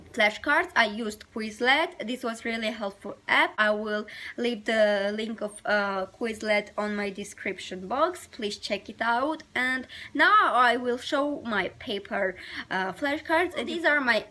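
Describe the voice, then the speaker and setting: A woman with a high, very bright and forceful voice speaks as the host of a study-advice vlog. Her first language is Armenian. She talks earnestly to camera in non-native English, often searching for words.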